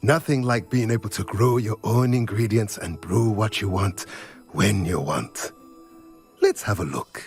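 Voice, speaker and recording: An actor playing a formal, courteous male shop proprietor, sometimes dropping to a hush. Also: lowish voice, soft background music. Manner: formal, measured, at times hushed. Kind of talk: video game cutscene.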